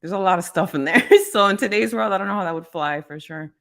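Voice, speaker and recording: A woman guest chatting casually on a livestream, relaxed and laughing along. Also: medium pitch, fast talker, gravelly. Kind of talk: casual chat.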